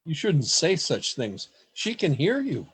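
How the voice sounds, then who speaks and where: A man plays an earnest poet in a radio play. His husky voice is fairly high for a man and swings widely in pitch, at an unhurried pace with a theatrical touch. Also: wildly swooping pitch, full character voice, low-quality recording. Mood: earnest, smitten. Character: earnest, wide-eyed male poet newcomer, narrating. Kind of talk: radio play acting and narration. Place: remote home recording over video call. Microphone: video call.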